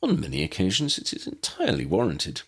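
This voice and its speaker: A jovial older gentleman acted by a male narrator in a storybook reading. He is blunt and grandly formal, never apologetic, with a gravelly tone and lively swings of pitch. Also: quick pace, heavily acted. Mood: wry, unbothered. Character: blunt, jovial older uncle, cake-loving gentleman scholar.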